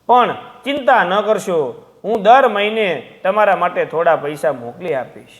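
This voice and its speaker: A man playing an evasive grown son, high-voiced. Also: expressive, a little slow. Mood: evasive, placating. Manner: excuse-making, placating. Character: apologetic, evasive grown son brushing off his parents.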